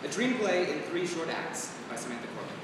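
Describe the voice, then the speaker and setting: Male stage-directions reader, fairly high voice, scripted narration, distant and heavily echoing. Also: very animated, a little fast. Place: large reverberant indoor performance space, far mic. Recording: very echoey hall, distant mic, rumbling noise.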